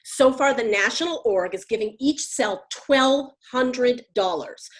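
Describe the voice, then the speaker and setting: A woman's voice: on the high side, measured pace, close to an everyday voice. A woman playing a wry, practical activist in a video-call comedy, talking in a casual, needling way. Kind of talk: comedic stage acting over video call. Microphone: video call microphone.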